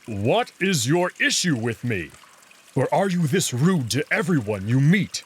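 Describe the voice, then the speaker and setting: Man acting a gruff, indignant big wolf. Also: a little high, big pitch swings, measured pace, heavily acted. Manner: growling, blunt, confrontational.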